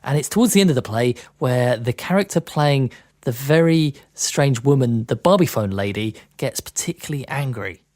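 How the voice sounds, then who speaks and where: Lively man presenting a podcast. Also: medium pitch, moderate pace. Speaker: podcast co-host.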